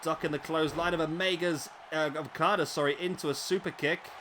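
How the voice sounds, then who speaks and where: A man with a slightly raspy voice, commentating a wrestling video game play-by-play, excitable at big moves and chatty in asides. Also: on the high side, fast, background noise.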